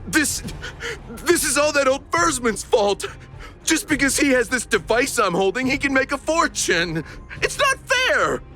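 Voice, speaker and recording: Shifty game villain, aggrieved, defiant, blustering, sharp, very animated delivery. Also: male voice, extremely high pitch, a little slow, faint music behind, heavily acted. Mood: outraged. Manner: whiny, blustering, stammering when cornered. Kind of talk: video game voice acting.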